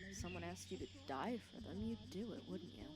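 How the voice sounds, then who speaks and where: A woman's voice: lowish voice, animated, a little fast, heavy background noise, lightly acted. A woman playing a wry, bossy but caring female villain who fusses over her hero nemesis in an intimate ASMR roleplay. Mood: soft teasing. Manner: intimate, wry, fussing and bossy with soft teasing. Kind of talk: ASMR roleplay acting, one side of a conversation. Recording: clear cricket and insect ambience behind. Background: crickets and outdoor night insects.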